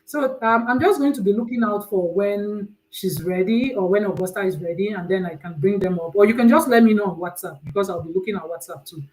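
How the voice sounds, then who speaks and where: A woman's voice: mid-range voice, brisk, few pauses, darkish tone, rough recording. Chatty Nigerian woman hosting a call. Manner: chatty, restarts sentences often. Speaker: host of an online panel. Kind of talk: casual live-stream hosting. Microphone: computer mic on a video call.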